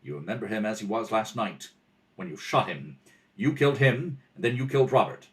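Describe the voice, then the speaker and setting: A man playing a shrewd, composed detective in a radio mystery, measured, pointed and wry. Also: fairly low pitch, animated, close to an everyday voice, poor audio. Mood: coolly accusing. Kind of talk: old-time radio mystery acting.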